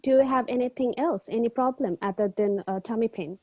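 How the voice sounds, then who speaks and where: Woman playing the doc in a mock exam, hesitant, mellow voice, muffled call audio. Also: a little high, fairly quick, breathy edge, no room sound. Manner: polite, hesitant, many fillers. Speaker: candidate doctor in a mock exam. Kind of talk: clinical history taking in a role-play. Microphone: video call.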